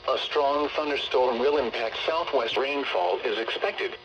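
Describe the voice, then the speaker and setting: A synthetic male text-to-speech voice reading scripted emergency weather bulletins over the radio in a formal, measured way. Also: mid-range voice, background noise. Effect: weather radio broadcast. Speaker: automated weather radio announcer.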